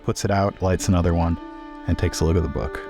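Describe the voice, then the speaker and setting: Deep-voiced man playing a tabletop game. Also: level tone, quick pace, dark tone. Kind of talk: casual tabletop roleplay, describing his character's actions. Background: faint music.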